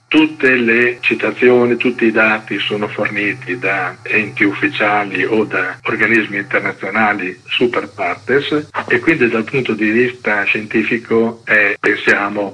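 A man's voice: fairly low pitch, sharp tone, husky, rough recording. A man giving articulate, assertive political commentary in a radio interview. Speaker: interviewed activist and book editor.

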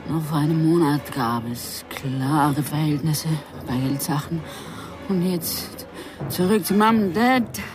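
An actress playing a distraught, clinging young wife in a radio drama. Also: female voice, deep voice, takes it slowly, music in the background. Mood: brooding, dejected.

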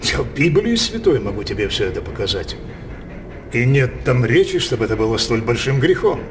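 An actor playing a brooding older king obsessed with his daughter, declaiming theatrical verse in a halting, impassioned manner for a radio play. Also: medium pitch, very animated, background noise, lightly acted. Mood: insinuating, persuasive.

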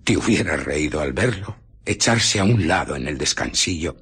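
Obsessed feverish scientist, castilian spanish, low husky voice, brooding radio drama stuff. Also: male voice, expressive, normal speed, a bit bright, exaggerated voice. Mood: tense recollection. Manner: brooding, intense. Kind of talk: radio drama acting, first-person narration.

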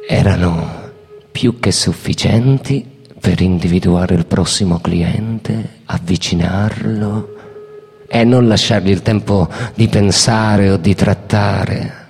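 A man's voice: low voice, slow pace, creaky, darkish tone, husky. A man delivering theatrical scripted narration as a storyteller. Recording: reverberant hall. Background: music.

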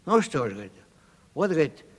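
High-pitched, lively vagabond comedian. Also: male voice, fast talker. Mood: rueful storytelling. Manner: animated, plaintive storytelling. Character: scrawny, plaintive, cheeky vagabond provincial comic actor. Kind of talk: 1970s audio stage drama acting. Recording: reverberant hall-like studio recording.

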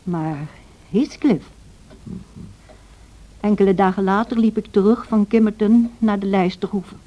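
An actress playing a plain-spoken, disapproving housekeeper who narrates a radio drama in an earnest storytelling manner. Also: female voice, mid-range voice, a little slow, natural voice. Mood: narrating, disapproving. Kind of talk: scripted first-person narration in radio drama. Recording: dull lo-fi old radio recording.

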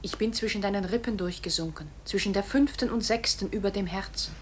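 Gentle deadly bullet voiced by a woman, faintly noisy recording. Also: mid-range voice, fairly quick, close to an everyday voice. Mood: tender, eerie. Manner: soft, careful, soothing. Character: gentle deadly bullet speaking to its dying victim. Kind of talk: poetic radio play acting.

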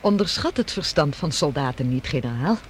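An actress playing a poised, warm, quick-witted woman in a radio drama, gently expressive. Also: deep voice, slight background noise. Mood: reflective.

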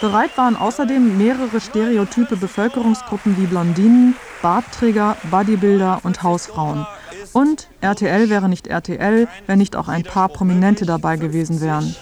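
A woman's voice: medium pitch, moderate pace, a little mellow, a faint crowd. A woman reading scripted narration for a radio documentary. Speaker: feature narrator.

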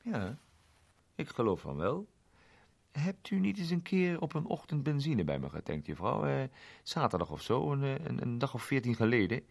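A man's voice: medium pitch, very animated, normal speed, creaky, darkish tone, slightly rough, pitched above the performer's own voice, exaggerated voice. A man voicing a chatty, plain-spoken male garage owner who rambles with fillers. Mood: friendly. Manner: rambling, homely, full of fillers.

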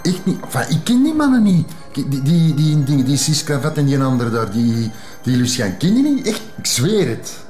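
A man's voice: fairly high pitch, sing-song, normal speed, a little mellow, roomy sound, soft background music. An actor playing a frightened man who stammers nervously and pleads, with a Flemish accent, in a drama. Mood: panicky. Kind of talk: drama acting.

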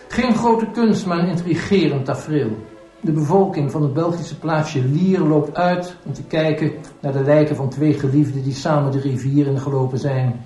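An actor playing a guarded, formal gentleman from a well-to-do family in a radio drama, politely reserved and clipped. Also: fairly high pitch, natural voice, low-quality recording.

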